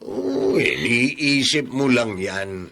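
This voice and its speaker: An actor playing a teasing, kindly old man in a radio drama, with a gravelly, raspy voice. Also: medium pitch, lively intonation, very slow, sharp tone, exaggerated voice. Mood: teasing, reassuring. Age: older. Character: teasing, kindly old male friend with rheumatism. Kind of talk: Filipino radio drama acting.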